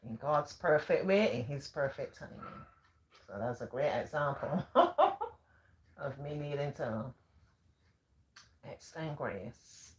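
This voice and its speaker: Barbadian woman, live-stream host; deep, calm, sing-song delivery. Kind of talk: casual live-stream talk.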